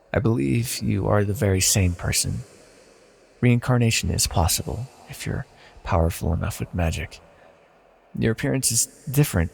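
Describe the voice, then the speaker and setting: A man playing an ancient banished villain deity in a fantasy audio roleplay, proud yet wistful and tender, speaking in a formal, measured, confessional way. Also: on the low side, creaky, darkish tone, breathy edge. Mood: hesitant confession. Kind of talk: scripted fantasy audio roleplay, intimate monologue. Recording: long reverberant hall echo.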